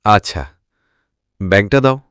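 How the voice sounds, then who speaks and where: A man's voice: on the low side, unhurried, a bit bright, slight background noise. A man playing a worried middle-aged village father in a cartoon fairytale. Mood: resigned. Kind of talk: Bengali cartoon fairytale dialogue.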